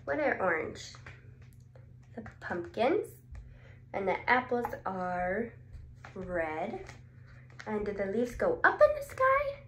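A woman in her twenties reading a children's picture book aloud expressively, asking questions and answering them. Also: medium pitch. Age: 20s. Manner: expressive, exclaiming, asks questions and pauses before answering. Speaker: speech therapist. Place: small indoor clinic room. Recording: roomy echo, clear background noise.

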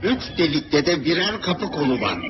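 A man's voice: fairly high pitch, big pitch swings, moderate pace. A man voicing an advisory companion who warns the hero in a cartoon game. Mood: cautioning. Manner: cautioning, instructive. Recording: dull, noisy TV game audio.

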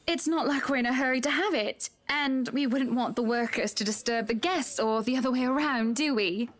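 An actress playing a wealthy, teasing, sharp-tongued young wife in a visual novel, chatty and pointed. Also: female voice, sits high, moderate pace, natural voice. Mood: breezy.

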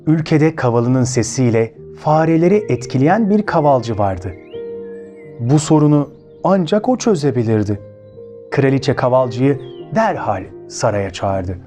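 Male children's storytelling host, Turkish accent, mellow and slightly high, highly expressive, with music underneath. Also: unhurried. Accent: Turkey Turkish. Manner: expressive, addresses listeners as friends. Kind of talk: children's storytelling with direct questions to listeners.

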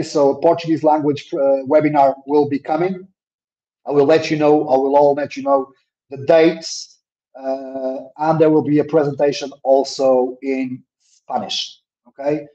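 A man hosting a webinar over a video call, answering questions in hesitant full of fillers and restarts. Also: mellow. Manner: hesitant, many fillers and repeated words; says his own voice is a little deep. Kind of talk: live webinar Q&A. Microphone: laptop mic on a video call. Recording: dull lo-fi call audio.

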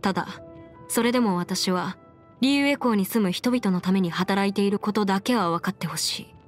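A woman's voice: mid-range voice, brisk, natural voice. Woman voicing a self-assured young anime lawyer. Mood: earnest, firm. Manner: crisp, self-assured, formal mannish phrasing. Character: confident, brisk young female half-immortal lawyer. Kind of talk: scripted anime game character voice lines. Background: background music.

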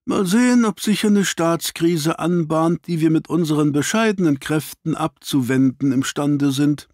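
A man voicing a shrewd, dry-witted gentleman detective in an audiobook, speaking formally and inquisitively. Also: on the high side, unhurried, dry recording, voice pushed up, natural voice. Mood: keen, dry.

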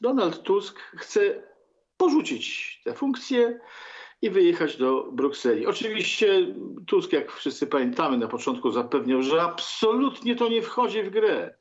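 A man in his sixties hosting a political talk show, commenting sarcastically with theatrical, halting delivery. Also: high voice, sing-song, highs cut off. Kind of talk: sardonic political commentary to viewers. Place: book-lined room at home.

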